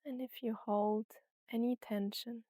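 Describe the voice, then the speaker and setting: A woman narrating a guided meditation and story in an unhurried, soothing way, with long pauses between phrases. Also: on the high side, steady tone, dark tone, no echo, studio quality.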